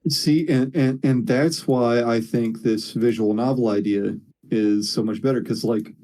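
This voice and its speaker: A man chatting casually about video games in a halting, slangy way, swearing freely. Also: medium pitch, steady tone, dark tone.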